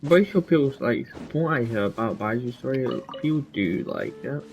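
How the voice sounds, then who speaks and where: A man's voice: mid-range voice, animated, moderate pace, creaky. A man chatting casually over a game, rambling and leaning on filler words. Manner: rambling, repeats "like". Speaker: gaming streamer reacting to a story quest. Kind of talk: casual chat.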